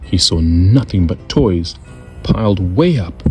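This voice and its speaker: A man hosting a storytime, narrating a children's picture book with deliberate, expressive delivery. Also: low voice. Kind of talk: children's read-aloud narration. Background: music.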